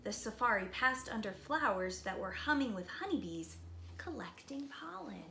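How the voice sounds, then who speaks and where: A woman preschool teacher reading a picture book aloud expressively, with dramatic slowed emphasis and hushed moments. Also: fairly high pitch, sing-song, vocal fry. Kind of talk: expressive children's read-aloud. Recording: roomy echo, light background noise.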